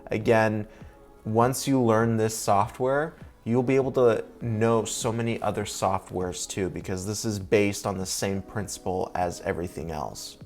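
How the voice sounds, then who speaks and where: A man's voice: on the low side, measured pace, darkish tone, faint music behind. A young man hosting a sponsored video-editing tutorial, explaining each step in an upbeat, casual way. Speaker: video editor and tutorial host. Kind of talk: sponsored software tutorial walkthrough.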